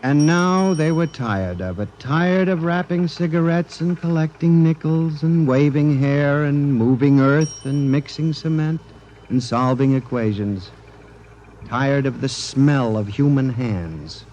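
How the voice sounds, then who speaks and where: An actor playing an obsessed, fearful office clerk, narrating in an earnest, theatrical 1950s radio drama manner. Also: male voice, fairly high pitch, measured pace, darkish tone, a little hiss or hum, natural voice, poor audio. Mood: awed, ominous. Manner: intense, earnest, formal, theatrical. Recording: 1950s radio broadcast with music behind.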